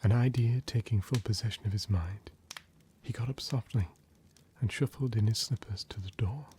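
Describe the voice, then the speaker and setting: A man narrating a classic story in ASMR style, mostly in a soft, measured whisper, with noise in the background. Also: fairly low pitch.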